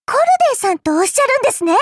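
A woman's voice: extremely high pitch, lively intonation, racing, no room sound, studio quality. A woman voicing a childlike, fairy-like game girl, cutesy and polite, with a sweetly teasing, faintly condescending manner. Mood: sweetly eager. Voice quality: cutesy, girlish. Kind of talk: Japanese mobile game character voice lines.

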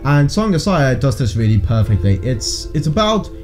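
Animated young man hosting a chat. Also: medium pitch, moderate pace, faint music behind. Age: young adult. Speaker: video show host. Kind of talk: casual chat.

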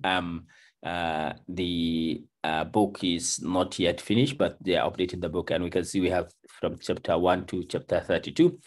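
A man's voice: deep voice, darkish tone. A Nigerian man in his thirties leading a book club on a video call, talking casually with lots of ums and you knows. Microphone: video call webcam.

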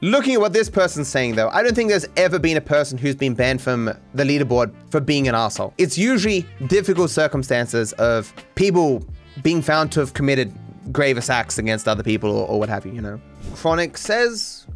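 A man in his thirties, a streamer who rambles frankly through chat comments, swearing casually, at a studio mic in a dark room. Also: on the high side. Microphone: studio mic on boom arm, headphones.

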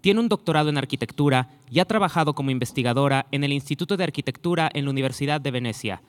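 A man's voice: mid-range voice, quick pace. A man reading a formal introduction of a guest speaker. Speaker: event presenter.

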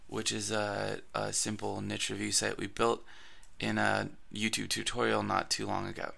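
Raspy, gravelly man walking through a tutorial. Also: lowish voice, bright, forceful tone. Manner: casual, with frequent fillers and restarts. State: raspy from allergies. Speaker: blogging tutorial host. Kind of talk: screencast tutorial narration.

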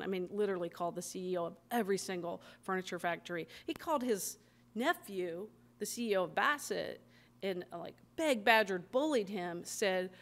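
A woman in her fifties speaks at a library podium, chatty and animated, her words running on with ums and quick restarts. Her voice sits at an ordinary middle pitch and comes through the podium microphone. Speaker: author speaking at a library event. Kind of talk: talk and audience Q&A. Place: library meeting room, at a podium.

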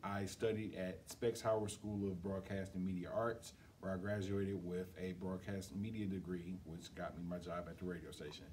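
A man's voice: low voice, level tone, normal speed, few pauses, darkish tone. A middle-aged man from Detroit with an American accent, giving a casual introduction to camera in a library. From Detroit, United States. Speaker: guest reader introducing himself, radio station employee. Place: school library, seated indoors. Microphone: camera several feet away. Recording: distant mic, noisy room ambience.

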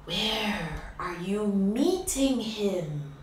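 A woman doing a slow, whispery snake. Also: medium pitch, animated, slight background noise. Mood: hissing. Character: sly hissing male snake, slithery and low. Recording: echoey room, distant mic, faint ambience.

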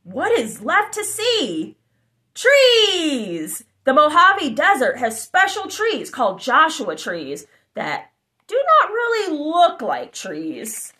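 A woman reading a children's picture book aloud, with animated, exclamatory, child-directed delivery and chatty asides, recorded indoors at home. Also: sits high. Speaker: storybook narrator. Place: living room, indoors.

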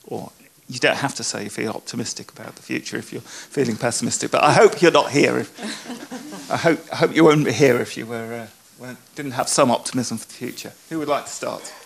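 A man facilitates a live panel in an informal, unscripted style. His voice is medium in pitch and noticeably creaky as he steers the discussion. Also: animated, brisk, slight background noise. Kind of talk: informal event moderating.

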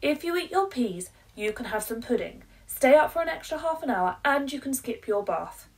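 A woman's voice: sits high, big pitch swings, normal speed, recorded from a distance, voice pushed up. A woman voicing an exasperated, pleading mum from a children's picture book, piling up promises emphatically to bargain with her child. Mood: coaxing. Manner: breathless piled-up promises, emphatic.

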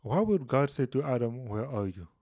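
Man, 30s, Bible teacher, casual explainer, mid pitch, dry booth sound. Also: moderate pace, no echo. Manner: explanatory, animated hand gestures. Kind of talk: casual Bible study video. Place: small room indoors. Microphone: studio mic on boom, headphones.

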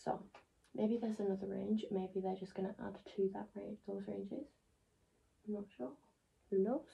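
A woman's voice: medium pitch, fairly even intonation, moderate pace, vocal fry, husky, highs cut off. A young woman vlogging from home in a chatty, rambling way, often sinking to a near-whisper. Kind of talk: casual vlog chat. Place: home living room, indoors. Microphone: phone camera held close.

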